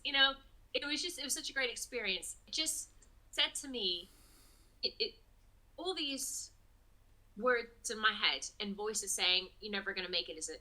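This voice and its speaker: A woman musician guest telling stories in a casual podcast chat, laughing often and clearing her throat. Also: mid-range voice, pushed and bright, rough recording.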